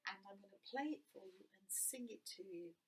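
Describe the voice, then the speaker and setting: A woman with an English accent, teaching young children to sing in a gentle, encouraging way. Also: mid-range voice, a little fast. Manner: gentle, encouraging, sings phrases for copying. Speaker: music teacher. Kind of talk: children's singing lesson.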